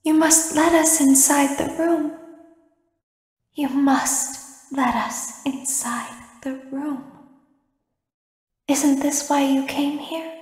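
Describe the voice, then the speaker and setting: An eerie animatronic girl from a horror game. The voice is high and very slow, calm yet pleading and softly insistent, in a roomy echo. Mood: eerily pleading. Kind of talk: scripted video game voice acting. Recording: roomy, echoing game audio.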